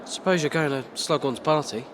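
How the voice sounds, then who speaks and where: A man's voice: fairly high pitch, a little fast, a little hiss or hum, natural voice. A man playing a blunt, matey teenage British wizard in a video game, colloquial in manner. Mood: teasing. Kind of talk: video game cutscene acting. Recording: loud music behind.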